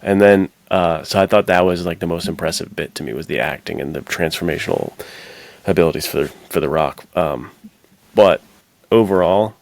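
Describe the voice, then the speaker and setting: A young American man with a low voice talks film like he's chatting with a buddy. His delivery has plenty of fry and he gives slangy, blunt opinions. The sound is close and dry. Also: moderate pace, no echo. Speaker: podcast co-host. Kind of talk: casual movie discussion.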